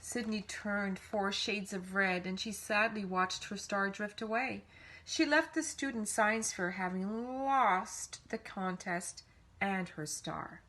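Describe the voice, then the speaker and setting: A woman reads a picture book aloud as a storyteller for young listeners. Her delivery is animated, with dramatic emphasis on key words, at a medium pitch. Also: slow pace, slight background noise. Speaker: children's storybook reader. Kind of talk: expressive read-aloud storytelling.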